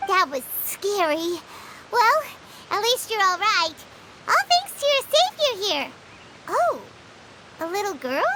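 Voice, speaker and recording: A woman voicing a small, childlike, bossy fairy companion in a video game, squeaky and exclamatory. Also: sing-song, takes it slowly, long pauses, background noise, heavily acted. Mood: concerned, curious. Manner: refers to self in third person, exclamatory. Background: music.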